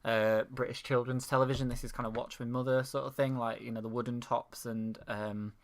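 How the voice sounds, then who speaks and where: A man's voice: medium pitch, level tone, brisk. A man in his thirties co-hosting a casual chat. He explains background patiently and slips in teasing jokes. His voice sits in an ordinary middle range. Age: 30s. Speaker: co-host.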